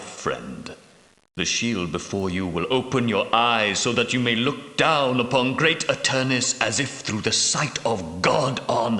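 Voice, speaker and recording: An actor playing a solemn, commanding medieval knight and prophetic leader, speaking in grave, formal, archaic oratory for a video game. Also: male voice, fairly low pitch, pushed and bright, heavily acted. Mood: solemn instruction. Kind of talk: theatrical video game character dialogue. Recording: roomy echo, slightly distant from mic.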